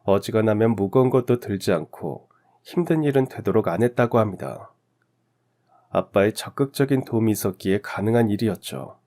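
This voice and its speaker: A man reads a scripted first-person story for radio. His voice sits low and is very dark and mellow, in the manner of a story narrator. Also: animated, brisk.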